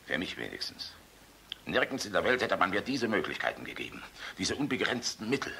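A deep, gravelly radio-play general, swinging widely in pitch. He is a sardonic, flirtatious drinker with a cutting, forceful edge and a swaggering charm. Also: very animated, brisk, slight background noise, full character voice. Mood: sardonic, musing. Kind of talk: German radio drama acting.

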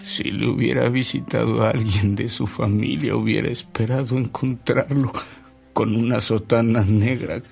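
An actor playing a respectful man recounting memories in a radio drama, in a measured, reminiscing way. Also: mid-range voice, fairly even intonation, gravelly, darkish tone, soft background music, lightly acted. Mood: fond, reminiscing.

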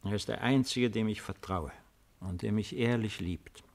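An actor in a 1950s German radio play playing an old, weary, retired Roman emperor with a deliberate, ironic, theatrical delivery. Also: male voice, on the low side, vocal fry. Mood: melancholy. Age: older.

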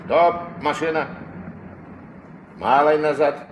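An actor playing a gruff, steady old riverboat captain and grandfather with a curt, commanding way in a Soviet radio play. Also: on the high side, slow pace, slight background noise. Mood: brisk, commanding.

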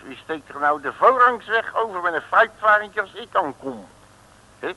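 Vintage radio serial: cocky blustering sleuth, very bright, lively and animated. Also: male voice, high-pitched, moderate pace, exaggerated voice, rough recording. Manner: blustering, colloquial banter. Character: cocky, boastful male detective, brash and cheeky. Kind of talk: 1950s Dutch comic radio serial acting. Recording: vintage radio drama recording.

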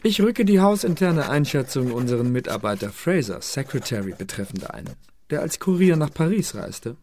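An actor in a radio play playing a fussy secret service archivist, announcing documents in a pedantic singsong. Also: male voice, mid-range voice, big pitch swings, normal speed, a little mellow, a little hiss or hum.

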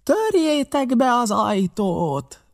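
A man voicing a furious blind old beggar pirate leader in an audiobook, shouting commands and curses. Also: very high-pitched, expressive, voice pushed up. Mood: furious, commanding. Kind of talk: audiobook character acting.

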